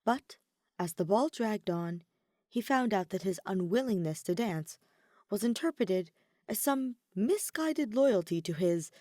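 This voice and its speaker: A woman narrating a story in an expressive audiobook style. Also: mid-range voice, no echo, studio quality. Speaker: podfic reader, narrator.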